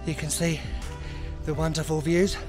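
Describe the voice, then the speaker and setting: A chatty man in his thirties with a fairly high voice films himself outdoors at arm's length, gushing about the sights. Music plays clearly beneath his talk, with street traffic around him. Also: moderate pace. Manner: chatty, excitable, playful asides. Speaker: travel vlogger. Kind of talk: casual travel vlog, talking to camera. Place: outdoors on sunny town streets. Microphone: handheld camera at arm's length.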